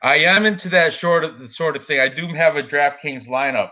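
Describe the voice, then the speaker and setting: A man's voice: a little high, a little fast, pushed and bright, muffled recording. A man in his forties hosting a casual sports betting chat, rambling with lots of fillers and frequent laughs. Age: 40s. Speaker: podcast host.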